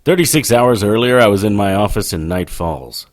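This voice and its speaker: An actor playing a sardonic, weary supernatural detective in a radio drama with noir-style narration. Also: male voice, fairly low pitch, animated, normal speed, soft background music, natural voice. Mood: hard-boiled narrating. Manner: sardonic, dry.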